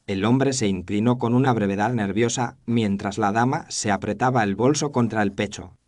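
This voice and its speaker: A man's voice: lowish voice, normal speed, darkish tone, no room sound. A man narrating a scripted first-person detective story in a formal, literary storytelling manner.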